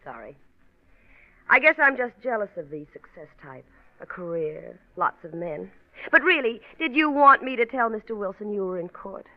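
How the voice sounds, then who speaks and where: Needling 40s newsroom gal, catty and sarcastic, very lively pitch, narrow old radio sound. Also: female voice, moderate pace, no echo. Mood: teasing, catty. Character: wry, needling newsroom woman, jealous colleague. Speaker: radio actress. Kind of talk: 1940s radio drama acting. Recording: narrow-band vintage radio recording.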